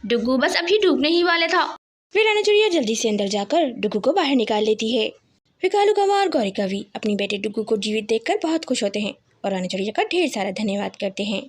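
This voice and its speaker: A woman with a high-pitched voice narrates a children's tale. She works as the storyteller, reading from a script. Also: very animated, fairly quick.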